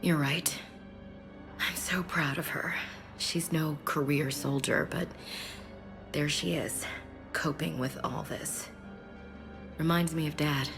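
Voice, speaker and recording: A woman's voice: low-pitched, fairly even intonation, takes it slowly, pauses often. A woman playing a tough but tender soldier and protective sister in a video game, speaking in a subdued, restrained way. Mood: proud, wistful. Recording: background music under dialogue.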